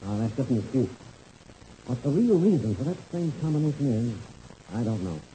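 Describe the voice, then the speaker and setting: An actor playing a confident, shrewd mentor pilot in a 1930s radio adventure serial, brisk and colloquial, on a noisy old recording. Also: male voice, medium pitch, very animated, mellow, lightly acted. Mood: puzzled, wary.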